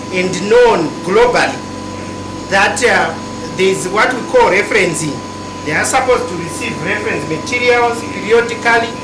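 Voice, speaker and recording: A woman guide giving an earnest, explanatory, somewhat halting account during a tour. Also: medium pitch. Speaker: guide explaining lab accreditation. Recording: echoey room with background noise.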